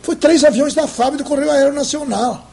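Old guy with a rough gravelly voice telling the story in his office, stops and restarts a lot. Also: very high-pitched, normal speed, crowd noise. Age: older. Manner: recounting with restarts and hesitations. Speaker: interviewee. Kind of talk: documentary interview. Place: home office with bookshelves.

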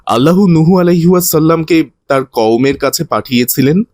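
A man's voice: on the high side, moderate pace, a little mellow, no room sound, close to an everyday voice. A man playing a kindly, didactic teacher who tells moral religious stories to children in a cartoon. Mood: earnest, instructive. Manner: didactic, earnest.

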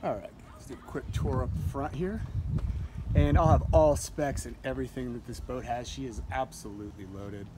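A man's voice: mid-range voice, normal speed, background noise. A man in his forties casually walking viewers through a sailboat, talking into his handheld phone with plenty of fillers and self-corrections. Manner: relaxed, chatty, with frequent fillers and self-corrections. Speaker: yacht broker. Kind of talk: casual walkthrough tour. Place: aboard a sailboat in a marina, deck and cabin. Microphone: handheld phone camera. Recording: phone recording with music playing nearby.

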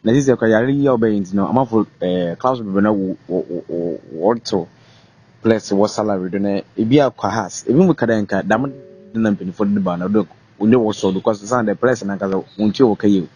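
A Ghanaian man interviews a guest, putting brief, plain questions in a lowish, somewhat husky voice. The sound is muffled and lacks crispness. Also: a little fast, a little mellow.

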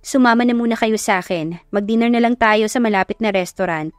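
A woman's voice: a little high, brisk, no echo, clean studio sound, lightly acted. Lady doing a reassuring event manager boss, romance audiobook, music under it. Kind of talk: acted dialogue in Tagalog romance audio story.

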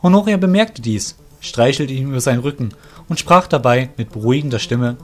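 A man's voice: mid-range voice, lively intonation, fairly quick. A man narrating a scripted fantasy audio drama as its storyteller. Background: music.

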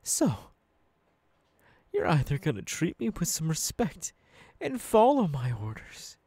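A young supervillain boss , acted by a man, bullies his underlings in an ASMR roleplay. He is menacing and deliberate, with a husky, breathy voice and slow, widely swinging delivery, recorded close and dry. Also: on the high side, wildly swooping pitch, warm tone, no room sound, heavily acted. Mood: threatening anger. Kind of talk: ASMR audio roleplay monologue.

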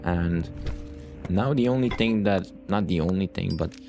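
A man with a low-pitched voice talks casually as host of a let's play. He rambles earnestly to his viewers and circles back to repeat phrases for emphasis. Guitar music plays clearly behind him. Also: unhurried. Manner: earnest, addresses viewers directly. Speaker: gaming channel host.

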